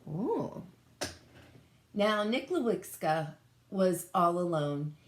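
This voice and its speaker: A woman reading a picture book aloud as a teacher, pausing to explain and put questions to young children. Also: fairly low pitch, lively intonation, a little hiss or hum. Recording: echoey room sound.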